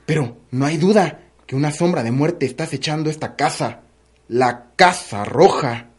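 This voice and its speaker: A woman's voice: deep voice, fairly quick, a little mellow, close to an everyday voice. A woman with a Mexican accent playing a superstitious, gossipy household servant in a melodramatic radio soap opera. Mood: ominous dread.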